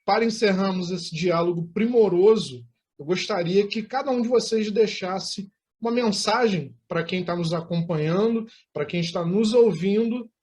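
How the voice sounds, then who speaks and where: High-pitched, husky Brazilian male host. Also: darkish tone. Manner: formal, florid wording. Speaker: interview host. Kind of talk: hosting an interview.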